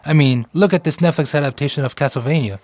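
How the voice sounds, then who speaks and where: A man's voice: fairly high pitch, fast talker, darkish tone, slight background noise. A man hosting a video essay, delivering scripted narration.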